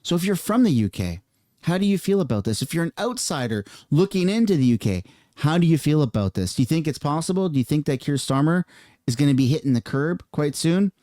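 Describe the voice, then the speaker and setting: A man's voice: on the high side, very animated, fairly quick, mellow, dry recording. Heated man ranting on a dry mic. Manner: ranting, heated, casual slang. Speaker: political commentary youtuber. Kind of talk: reaction commentary vlog.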